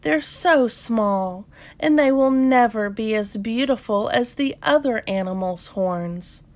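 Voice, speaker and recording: A young goat girl from a children's storybook, played by a woman reader. She is wistful and eager, with a childlike, plaintive manner and slow, drawn-out delivery. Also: a little high, a little mellow.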